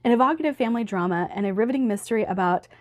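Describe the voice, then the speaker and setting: A woman book vlogger talking casually to camera, upbeat and chatty, with a slightly hoarse voice from allergies. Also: medium pitch, racing. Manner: chatty, upbeat, laughs often.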